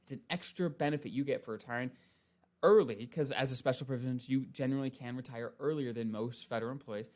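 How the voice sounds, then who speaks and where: A man's voice: mid-range voice, fast, darkish tone. A man giving a casual instructional explanation as a financial advisor, going step by step in a conversational way with frequent check-in tags.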